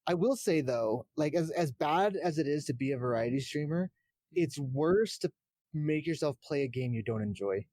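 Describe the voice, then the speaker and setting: A man's voice: on the high side, animated, moderate pace, dark tone, no echo, clean studio sound. A man talking casually about video games as a streamer guesting on a podcast.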